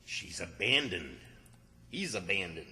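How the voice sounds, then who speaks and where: A man's voice: low voice, sing-song, unhurried, sharp tone, full character voice. A man playing an exasperated, scheming country fellow in old-time radio comedy style, with a folksy, fussy manner. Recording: reverberant hall stage recording.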